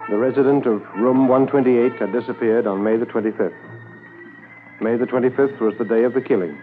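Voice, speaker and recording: An actor with a Canadian accent playing a measured, matter-of-fact Mountie inspector who narrates a manhunt in a 1950s radio drama. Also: male voice, mid-range voice, steady tone, lightly acted. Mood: sober, factual. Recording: tinny 1950s radio transcription, faint music.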